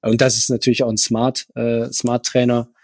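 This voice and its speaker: A male running coach co-hosting a podcast, talking in a slightly husky voice. He explains things at length and fills his pauses with hesitations. The recording is dry and close. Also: medium pitch, flat delivery, dry recording. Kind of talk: casual chat.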